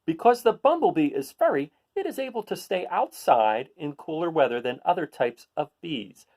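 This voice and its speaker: A man's voice: fairly high pitch, sing-song, moderate pace, darkish tone. A man hosting a read-aloud, reading a children's picture book and adding casual, explanatory asides for young listeners.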